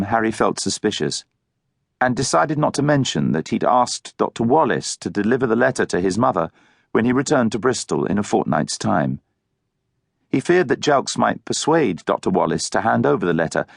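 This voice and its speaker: A man's voice: on the low side, expressive, normal speed, gravelly, no echo, studio quality. A man reading a novel as an audiobook narrator, in scripted literary prose.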